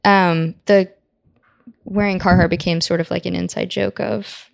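A woman's voice: fairly low pitch, little pitch movement, unhurried, creaky, no room sound, clean studio sound. A woman in her twenties from the southern US, a musician guest who chats casually, laughs easily and wanders off on tangents. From Southern US, Tennessee. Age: 20s. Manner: laughing, tangent-prone, self-deprecating. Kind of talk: casual interview chat.

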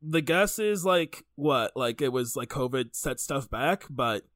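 A man's voice: mid-range voice, lively intonation, measured pace, fairly bright tone, dry recording, studio quality. A man co-hosting a podcast, talking casually about video games in a rambling, stop-start way. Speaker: podcast co-host.

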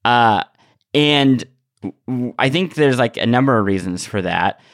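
A man's voice: medium pitch, lively intonation, a little slow, a bit bright, no echo, studio quality. A man hosting a casual podcast discussion, thinking aloud hesitantly and correcting himself as he goes. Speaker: podcast host.

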